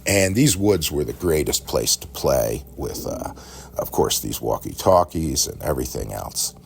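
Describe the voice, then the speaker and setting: Middle-aged American male, extremely low and creaky, rambling storyteller with a halting conversational delivery. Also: animated. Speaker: podcast storyteller. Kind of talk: casual, rambling reminiscence. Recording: faint background noise.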